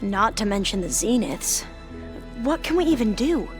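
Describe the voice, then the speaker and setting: A woman's voice: medium pitch, sing-song, moderate pace, faint music behind, close to an everyday voice. A woman playing an earnest, devoted companion in a video game cutscene. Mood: anxious. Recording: dialogue over game score.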